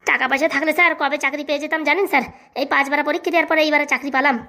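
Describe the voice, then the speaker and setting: A woman's voice: high voice, fairly even intonation, racing, bright, forceful tone. A woman voicing a cheeky, poor young man in a comic cartoon, glib, colloquial and stubbornly argumentative. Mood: stubborn, indignant.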